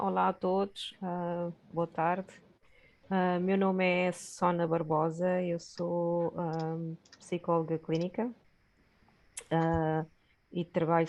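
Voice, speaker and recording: A middle-aged woman from Guinea-Bissau, introducing herself haltingly as a psychologist on an online panel. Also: lowish voice, a little mellow. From Guinea-Bissau, lived long in Portugal. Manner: hesitant, halting. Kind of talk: informal self-introduction at online event. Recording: muffled online call.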